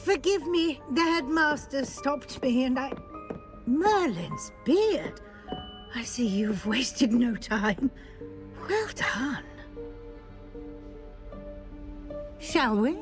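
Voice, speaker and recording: An actress playing a brisk, kindly middle-aged professor in a video game, giving crisp teacherly instructions. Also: female voice, high voice, animated. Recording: game soundtrack with clear music.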